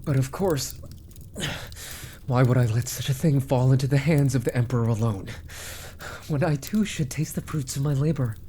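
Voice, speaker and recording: A man playing an arrogant, scheming immortal mage minister in an ASMR roleplay, haughty and deliberate, trailing into hushed asides. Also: mid-range voice, slight background noise, natural voice. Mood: smug, gloating. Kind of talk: ASMR roleplay villain monologue.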